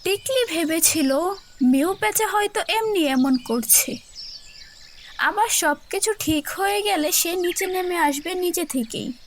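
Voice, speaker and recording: A woman's voice: very high voice, a little slow, a little hiss or hum. A woman narrator telling a scripted children's cartoon story.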